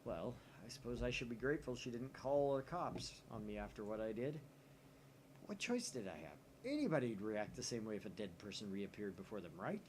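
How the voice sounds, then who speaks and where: A man's voice: lowish voice, fairly quick, a little hiss or hum, close to an everyday voice, low-quality recording. Man playing a melodramatic, paranoid young mad scientist. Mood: defensive. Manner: theatrical, excitable, suspicious. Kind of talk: visual novel read aloud in character.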